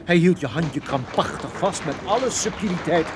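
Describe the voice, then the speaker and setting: A man's voice: on the high side, expressive, normal speed, crowd noise, close to an everyday voice. A man playing a wry, middle-aged private detective in a radio drama, bantering in a dry, teasing way. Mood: defensive, jealous. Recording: radio play with loud music behind.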